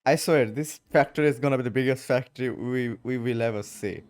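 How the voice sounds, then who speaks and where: A man's voice: medium pitch, a little fast, darkish tone. A man giving casual commentary as he plays a video game. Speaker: gaming streamer. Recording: game music behind the voice.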